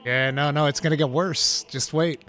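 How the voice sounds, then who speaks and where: A man's voice: on the high side, steady tone, normal speed, fairly bright tone, faint music behind. A man in his forties chatting casually on a game stream, with a teasing, playful manner and frequent exclamations and swearing. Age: 40s. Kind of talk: casual chat, banter.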